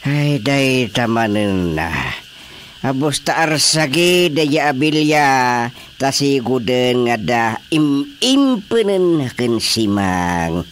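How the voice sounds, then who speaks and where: An actress with an Ilocano accent playing a weary, grumbling villager in a radio drama comedy, sighing and resigned. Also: female voice, extremely low pitch, very animated, takes it slowly, a little hiss or hum, exaggerated voice.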